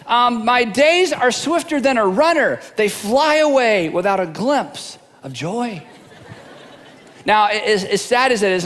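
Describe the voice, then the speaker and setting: High-voiced middle-aged preacher in a hall. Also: male voice. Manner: humorous, conversational, repeats words. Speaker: pastor. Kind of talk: sermon.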